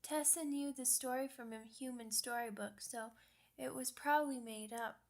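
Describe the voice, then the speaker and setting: A woman's voice: high-pitched, steady tone, normal speed. A woman voicing a sad, earnest young female werewolf pup in an ASMR roleplay, with hesitant, childlike phrasing. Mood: wistful storytelling.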